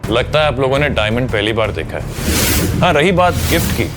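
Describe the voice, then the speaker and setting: A confident young fiance played by a man in an audio drama. His medium-pitched voice stays composed and dryly polite, with clear background music. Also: big pitch swings, fairly quick, close to an everyday voice. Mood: assured. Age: young adult. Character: cool, confident young fiance with dry sarcasm. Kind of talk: Hindi audio drama acting.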